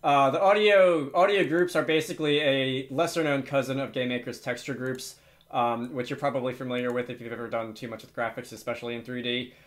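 A man's voice: mid-range voice, steady tone, brisk. A man presenting a casual, unscripted screencast tutorial, hesitantly thinking aloud as he works. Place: indoors at a computer.

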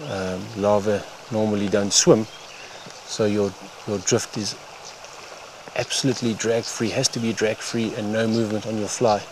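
A man giving instructional fly fishing commentary in halting, unhurried phrases. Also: fairly low pitch, a little mellow. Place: outdoors on a wooded stream bank. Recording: outdoor stream noise. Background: running water, outdoor ambience.

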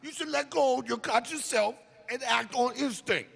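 A man voicing a wacky, streetwise old Jedi mentor in a slangy, drawn-out way at a live comic table read. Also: very high voice, creaky, fairly bright tone, slightly rough, heavily acted. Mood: instructing. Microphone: table microphone. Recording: live panel microphone in large room.

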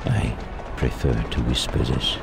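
An actor playing an elderly reformed miser, giddy, generous and exuberant, in a theatrical audio drama. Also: male voice, very deep voice, lively intonation, measured pace, loud music behind the voice, heavily acted. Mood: effusive, warm. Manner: exuberant, chuckling, mock-stern at times.

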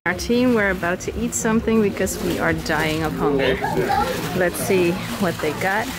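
A woman, chatting excitedly through a casual vlog while walking around a villa and a photo studio. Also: on the low side, normal speed, heavy background noise. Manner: bubbly, excitable, laughs often. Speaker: model and vlogger. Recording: music playing loudly behind her.

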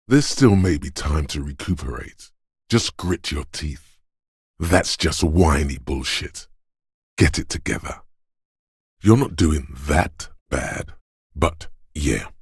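An actor voicing a gruff, domineering inner voice of brute strength in a video game, husky and rumbling, with scornful, deliberate drill-sergeant delivery. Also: male voice, darkish tone, dry recording, clean studio sound, exaggerated voice. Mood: scornful, goading. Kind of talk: video game character narration.

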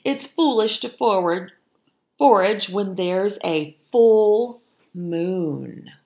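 A woman voicing a calm, cheerful old male fox cook from a storybook, unruffled and deliberate. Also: mid-range voice, gravelly, heavily acted. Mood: calm, knowing.

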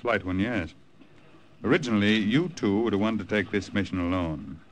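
An actor playing a stern, deliberate army colonel giving clipped, authoritative orders in a 1950s radio drama. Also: male voice, lowish voice, close to an everyday voice. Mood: commanding. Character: stern, deliberate military colonel briefing agents. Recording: noisy old radio recording.

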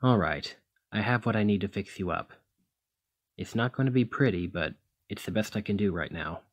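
A man playing a gruff, protective demigod hero in an ASMR roleplay, scolding yet caring, with a husky voice. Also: lowish voice, lively intonation, moderate pace. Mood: firm, caring. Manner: exasperated, bossy, caring.

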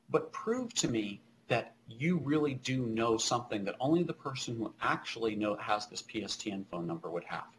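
Man, middle-aged, lowish voice, halting explainer, technical slide presentation, noisy webcam audio. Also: a bit bright. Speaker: keynote speaker, technology presenter.

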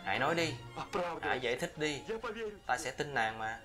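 A man's voice: medium pitch, normal speed, over music, lightly acted. A man playing a passionate, anguished young king in a fairy-tale cartoon voice-over, pleading and dramatic.

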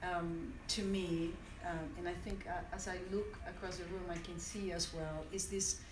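A woman in her forties from Venezuela, whose first language is French, gives a reflective personal talk to an audience, often pausing and restarting. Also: fairly low pitch, steady tone, background noise. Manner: reflective, with many restarts and hesitations. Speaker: conference speaker. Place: university classroom, indoors. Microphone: standing mic, recorded by a phone from the audience. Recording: echoey room from far off.

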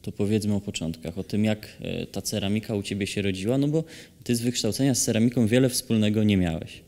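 A man hosting an interview, asking questions in a measured, slightly hesitant way. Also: lowish voice, fairly even intonation, a little mellow. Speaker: interviewer, host. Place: hall at a cultural centre. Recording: long reverb of a hall.

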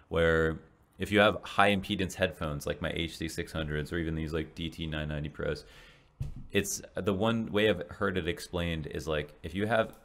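Very deep-voiced young man, rambling. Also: a bit bright. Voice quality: deep voice that turns raspy. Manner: rambling, many restarts and fillers. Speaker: podcast host and esports caster. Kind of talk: casual solo podcast reflection. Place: small home room, indoors. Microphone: large studio mic in front of face.

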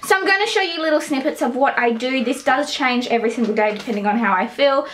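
A woman's voice: high-pitched, fast, fairly bright tone. A young Australian woman in her twenties, chatting casually to a handheld camera at home and in the car. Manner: chatty, talking straight to the camera. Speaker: vlogger. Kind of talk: casual vlog chat. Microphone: handheld camera at arm's length.

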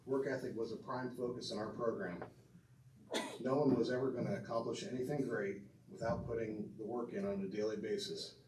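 A middle-aged man with a fairly low voice speaks earnestly from a podium, stumbling now and then as he reads his notes. He is heard from across a reverberant banquet room, with a faint hum of room noise behind him. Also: darkish tone, far from the mic. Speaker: speaker inducting his father into a hall of fame. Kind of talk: prepared tribute speech with asides to the audience. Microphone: podium mic heard from a far camera.

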